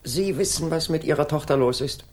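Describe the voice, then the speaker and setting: An actor playing a world-weary, dogged middle-aged police inspector in a German radio crime drama, questioning tersely and drily. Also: male voice, a little high, fairly quick, lightly acted. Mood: pressing, insistent. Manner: dry, terse, insistent questioning. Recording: 1980s studio radio play.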